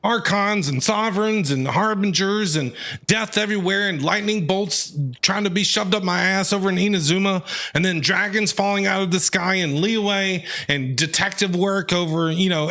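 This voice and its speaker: A man's voice: high-pitched, bright, forceful tone. A man, a game streamer, giving casual live reactions in a slangy, joking, emotional way.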